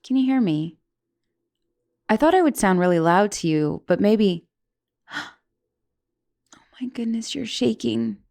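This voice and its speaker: Woman voicing young giantess student, creaky voice, medium pitch, stammering, caring, guilt-ridden, intimate ASMR roleplay delivery. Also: animated, measured pace, pauses often. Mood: concerned, gentle. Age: young adult. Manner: hesitant, stammering, slipping into soft whispers. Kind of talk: ASMR roleplay, intimate one-sided dialogue.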